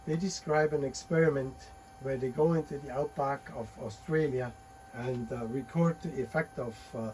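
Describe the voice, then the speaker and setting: A man presenting a technical talk on radio and earthquakes, pausing often with fillers and restarts. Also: medium pitch, a little mellow, a little hiss or hum, rough recording. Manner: halting, many fillers and restarts.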